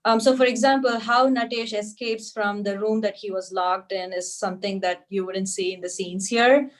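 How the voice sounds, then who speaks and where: A woman's voice: medium pitch, fairly even intonation, normal speed. A woman talking casually as a writer explaining a script in an online discussion. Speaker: amateur playwright explaining their script. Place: online video meeting.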